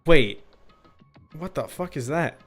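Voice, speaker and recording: A man's voice: a little high, expressive, takes it slowly. A man streaming a game and chatting with viewers in a rambling, informal, sweary way. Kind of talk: casual chat with viewers. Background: music.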